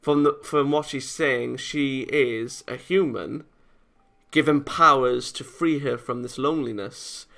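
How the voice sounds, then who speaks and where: Gravelly, bright-voiced man commenting on a game. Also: medium pitch, unhurried. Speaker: let's play host reading a visual novel. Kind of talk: off-the-cuff gameplay commentary mixed with read-aloud.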